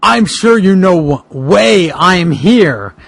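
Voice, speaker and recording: A man voicing a determined bogeyman private detective in a comic fan fiction reading, with deliberate delivery. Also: sits high, animated, gravelly, no echo, exaggerated voice. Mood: confrontational.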